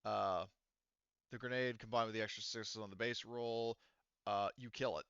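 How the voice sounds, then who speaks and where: A man narrating a tabletop game casually as game master, in an animated, informal way with some swearing. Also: fairly low pitch, normal speed, clean tone, dry recording, lo-fi sound.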